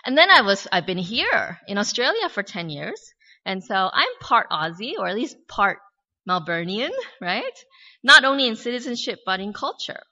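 Bright-voiced American woman pastor, dull recording. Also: medium pitch, very animated, moderate pace, in a large hall. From born in Korea, raised in the US. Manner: reflective, asks many questions, light humorous asides. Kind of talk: conversational sermon.

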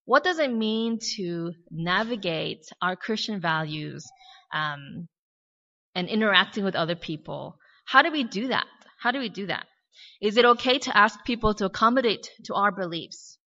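Woman pastor, American accent, bright, clear voice. She preaches conversationally, asks searching questions and adds wry asides. Muffled, lo-fi sound. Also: mid-range voice, long reverb tail. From born in Korea, raised in the US. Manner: reflective, asks many questions, light humorous asides. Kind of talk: conversational sermon. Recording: dull lo-fi recording.

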